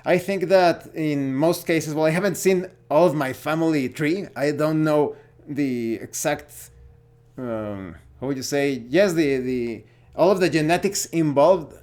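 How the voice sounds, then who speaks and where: A man with a Mexican accent gives a casual opinion monologue as a gaming vlogger, speaking haltingly and searching for words. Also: on the high side, a little mellow.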